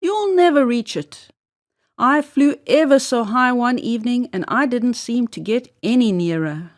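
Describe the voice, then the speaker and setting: A woman voicing an excitable, dismissive female wren in a storybook, exclaiming as she talks. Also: on the high side, a little slow. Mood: incredulous.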